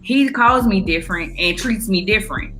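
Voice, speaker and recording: An American woman with a bright, forceful voice speaks over music. She is a podcast co-host in casual conversation, blunt and opinionated in how she puts things. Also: mid-range voice, normal speed. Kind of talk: casual news discussion between friends.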